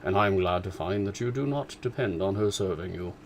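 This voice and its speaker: A man's voice: low voice, fairly quick, a little hiss or hum. A man reading the part of a sardonic older father, in a voice close to his own, dry and ironic. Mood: dry teasing.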